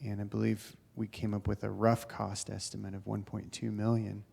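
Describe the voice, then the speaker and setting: A male engineer calmly explaining technical options at a town board meeting. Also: lowish voice, moderate pace, vocal fry, breathy edge. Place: town council chamber.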